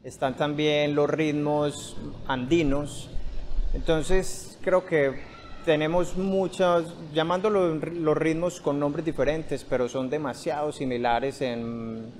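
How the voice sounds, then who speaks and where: A young man speaks thoughtfully, now and then searching for words. His voice sits a little high, with a faint outdoor hiss. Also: steady tone, slow, a little mellow. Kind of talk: interview testimony. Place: outdoor terrace by a park.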